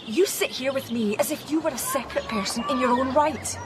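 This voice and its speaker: A woman's voice: high-pitched, fairly quick, noisy background, close to an everyday voice. A woman playing a grieving, frightened but defiant mother in an emotional, earnest radio drama performance. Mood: defiant, determined.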